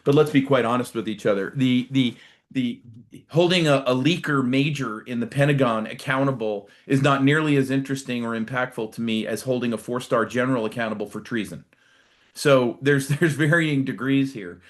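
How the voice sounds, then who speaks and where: A middle-aged or older American man speaking as a guest commentator, explaining things conversationally in a measured way with emphatic stresses. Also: mid-range voice. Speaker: guest commentator, retired military. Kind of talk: live political commentary, conversational.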